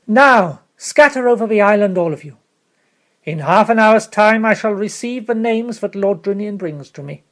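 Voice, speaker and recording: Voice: mid-range voice, expressive, normal speed, fairly bright tone, pitched above the performer's own voice. A reader voicing a young male king who speaks in a regal, formal, commanding way.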